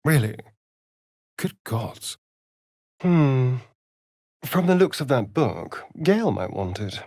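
A flamboyant male vampire rogue for a fantasy video game. He speaks in an aristocratic British accent, arch and sardonic, stretching his words at a leisurely pace with swooping, highly varied intonation. The sound is close and dry. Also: big pitch swings, slow, a little mellow, dry recording, exaggerated voice. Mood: brisk, wry. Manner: arch, theatrical, drawling, aristocratic delivery. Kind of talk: theatrical game character dialogue.

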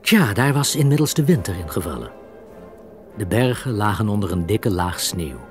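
Animated male storyteller. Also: on the low side, normal speed, faint music behind. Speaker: narrator. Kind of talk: scripted children's story narration.

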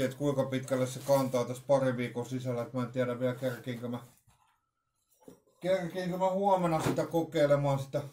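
A man's voice: medium pitch, mellow, a little hiss or hum. A middle-aged man giving a casual, unscripted unboxing talk in a hesitant, rambling way, often repeating his words. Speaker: vlogger presenting an unboxing. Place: small room at home, indoors. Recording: roomy, echoey home recording.

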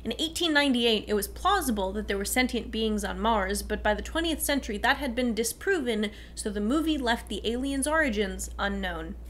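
A young woman narrates a scripted explainer as co-host. Her voice is high-pitched, and a faint noise sits behind it. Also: expressive, brisk, hardly any pauses. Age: young adult.

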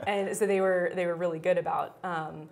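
A woman's voice: fairly low pitch, fairly even intonation, normal speed, vocal fry, a little mellow. A woman around thirty answering interview questions in a TV studio, chatty and full of "um" fillers. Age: 30s. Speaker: interview guest. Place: small TV studio set.

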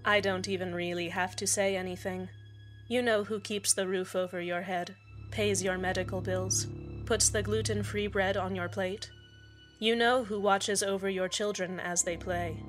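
A woman's voice: mid-range voice, close to an everyday voice. A woman playing a falsely cheerful, menacing community radio host in a scripted audio drama, soothing, condescending and polite. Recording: music bed under the voice.

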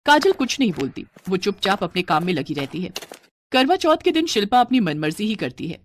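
A woman's voice: mid-range voice, big pitch swings, fairly quick, slight background noise. A woman reading scripted story narration as a storyteller.

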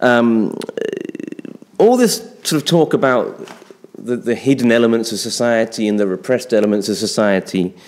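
A man's voice: mid-range voice, normal speed. A middle-aged man giving a loosely spoken academic talk at a lectern, hesitant and thinking aloud as he goes. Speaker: academic speaker responding to a paper. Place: indoor seminar room at a lectern. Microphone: gooseneck lectern microphone.

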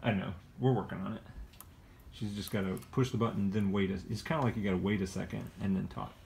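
A man's voice: on the low side, steady tone, fast talker, creaky, darkish tone. A middle-aged man chatting casually at home, patiently giving instructions like a parent. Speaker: parent coaching children. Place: living room at home, indoors. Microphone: behind a handheld phone camera. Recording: some room noise, home phone video.

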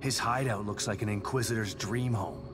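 An actor playing a weary, grieving male detective in a video game, talking low and tersely. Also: normal speed, music in the background, lightly acted. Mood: troubled. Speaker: game character.

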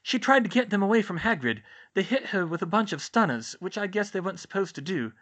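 A woman's voice: medium pitch, sing-song, fast, pitched above the performer's own voice. A woman voicing an anxious, earnest and insistent teenage girl witch in a storybook reading. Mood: subdued, earnest. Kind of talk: audiobook-style character dialogue.

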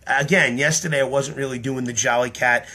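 A man in his thirties talking to his camera indoors, tired and slightly hoarse, explaining his act in an earnest, rambling way full of ums. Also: mid-range voice, fairly even intonation, a little fast, bright, forceful tone. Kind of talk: casual talk to camera. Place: small room indoors. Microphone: webcam or phone at close range.

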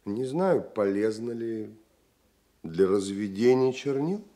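A man's voice: lowish voice, expressive, takes it slowly, warm tone. An actor playing a proud, world-weary male painter with a brooding manner, in a Soviet radio drama.

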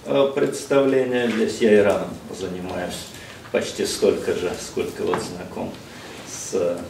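A middle-aged man seated at a table in a seminar room, giving a measured lecture with frequent hesitations and slipping in English phrases. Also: sits low, steady tone, gravelly, darkish tone. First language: Russian. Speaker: visiting lecturer. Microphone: distant room mic, speaker seated across the room. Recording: echoey room, speaker far from mic, faint hiss.

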